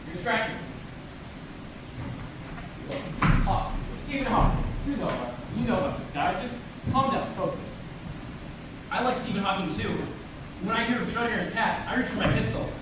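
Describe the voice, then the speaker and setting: An awkward, earnest teenage boy played by a man talks fast in a high voice. He explains things pedantically and literally, as in an amateur stage play. The sound is distant and very echoey. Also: animated, heavy background noise. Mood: eager, flustered. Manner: pedantic, detailed explanations with stumbles. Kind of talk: amateur one-act stage play acting.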